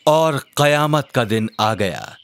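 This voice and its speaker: A man voicing an encouraging father who narrates a children's cartoon story in an expressive way. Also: medium pitch, slow pace, a little hiss or hum. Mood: solemn storytelling. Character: warm bespectacled father telling his child religious stories.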